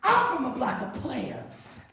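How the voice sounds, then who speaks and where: High-voiced woman, slow rhythmic spoken word, lively pitch, live stage performance. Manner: rhythmic, dramatic delivery. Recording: echoey hall from far off with music.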